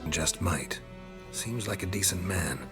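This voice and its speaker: An actor playing a gruff, world-weary mercenary monster hunter in a video game, terse and deadpan with a gravelly voice. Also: male voice, a little slow. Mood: dry. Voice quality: low gravelly. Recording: loud music behind the voice. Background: loud music.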